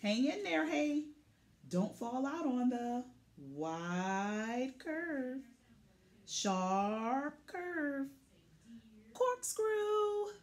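A woman's voice: sits high, big pitch swings, very slow, full character voice. A woman voicing a boastful, plucky little pickup truck from a children's picture book, punchy and full of vocal sound effects. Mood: excited.

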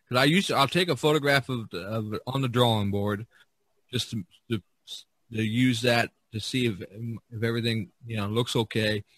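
A man hosting a casual podcast discussion, speaking haltingly and often repeating words and phrases. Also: lowish voice, a bit bright, no echo. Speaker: podcast host.

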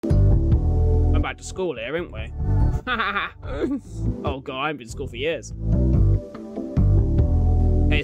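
A man with a British accent giving chatty, casual reaction commentary into a close desktop microphone, with colloquial tag questions. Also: a little high. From United Kingdom. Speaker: reaction video host. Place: small indoor room. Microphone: desktop condenser microphone, close. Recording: loud music behind. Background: music from the video being watched.

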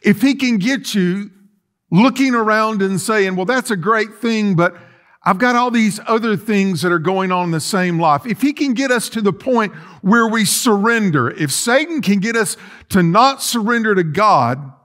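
A man's voice: sits high, expressive, normal speed, fairly bright tone. A middle-aged man preaching a sermon in a large church sanctuary, pacing and gesturing as he talks with earnest restarts. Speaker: pastor. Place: large church sanctuary with rows of chairs, indoors.

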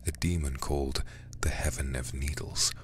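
A man reading a horror game aloud in ASMR style, hushed, breathy and deliberate, close to the microphone. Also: a bass voice, fairly even intonation, slight background noise. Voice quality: breathy, whispery. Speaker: livestreamer playing a horror game.

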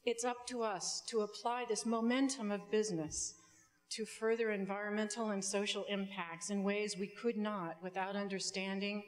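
A middle-aged woman giving a prepared commencement speech as a student speaker, measured and deliberate, with some ad-libs. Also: medium pitch, fairly even intonation. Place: indoor auditorium stage. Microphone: podium microphone. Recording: long hall reverb from stage sound system.

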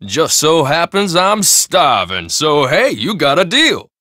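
A man's voice: a little high, wildly swooping pitch, slow pace, sharp tone, dry recording, heavily acted. An actor playing a folksy, talkative male shop owner in a video game, with easy salesman patter. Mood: chatty. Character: chatty male shop owner, friendly hustler. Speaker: game character.

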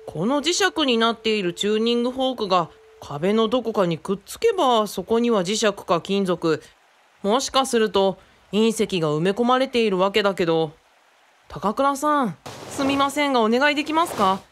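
A woman's voice: on the high side, expressive, normal speed, natural voice. A woman playing an eager, friendly young man in a Japanese radio drama, speaking in a casual, enthusiastic, boyish way. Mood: focused, polite.

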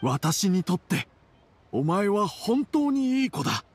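A man playing a gruff but fond middle-aged father in an anime game, speaking in a measured, formal, slightly awkward way. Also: sits high, big pitch swings, heavily acted. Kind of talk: Japanese anime game dialogue acting.

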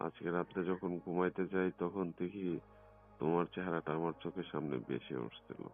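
Low-voiced coaxing man, muffled phone recording. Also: fairly even intonation, brisk, soft background music. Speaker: phone caller in a recorded conversation. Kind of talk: private phone conversation.

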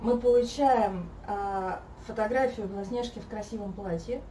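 A woman's voice: mid-range voice, normal speed, dark tone. Thoughtful woman in an echoey room. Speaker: guest, fairy-tale therapist. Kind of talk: casual conversation. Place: living room, seated on a sofa. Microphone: fixed camera across the room. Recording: echoey room, mic at a distance, faint hiss.

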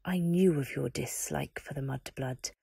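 A woman voicing a cold, menacing male dark lord who speaks in a slow, hissing whisper. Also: low voice, gravelly, breathy edge, no room sound, clean studio sound, exaggerated voice. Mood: cold cruel.

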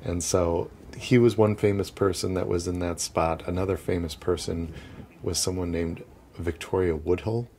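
A man's voice: low-pitched, gravelly, dark tone, slightly rough. A young man guiding a walking tour outdoors on city streets, chatty and casual, often laughing between points. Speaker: tour guide.

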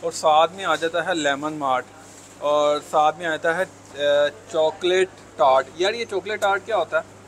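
A man's voice: a little high, a little slow, a bit bright. A young man from Pakistan with a Lahori Urdu accent, touring a bakery for his food vlog, chatty and keen, often saying "yaar". He wears a lapel mic in a busy shop. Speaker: food vlogger. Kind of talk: casual food vlog walkthrough. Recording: crowd chatter and traffic behind.

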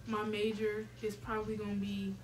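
A woman's voice: medium pitch, completely flat delivery, normal speed, slight background noise, poor audio. A young woman from Chicago chatting in a laid-back, blunt way. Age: young adult. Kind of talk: casual chat.

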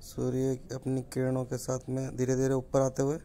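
A man's voice: medium pitch, monotone, normal speed. Chatty young man vlogging from a car. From Rajasthan, India. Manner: chatty, addresses viewers directly. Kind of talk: casual travel vlog narration. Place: inside a car on a road trip. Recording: loud music bed behind.

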